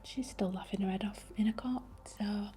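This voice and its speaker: A young woman whispering breathily and gently as she guides an ASMR meditation in an informal, unhurried way. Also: mid-range voice, fairly even intonation. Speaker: ASMR host guiding a healing session.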